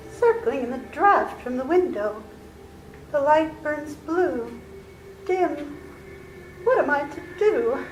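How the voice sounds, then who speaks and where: A woman playing a frightened, fragile young woman in a live radio play, speaking slowly and fearfully. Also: high voice. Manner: slow, hushed and fearful. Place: library hall with live audience. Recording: echoey hall with faint music.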